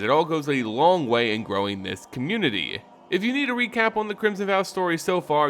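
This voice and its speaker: Guy doing scripted lore video host talk, kinda high voice, really animated, music under it. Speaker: host of a fantasy lore channel.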